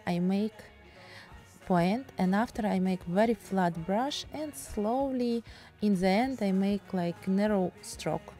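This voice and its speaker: A woman's voice: mid-range voice, warm tone. A woman with a Russian accent chatting in broken English while demonstrating a painting technique. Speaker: painting tutorial host.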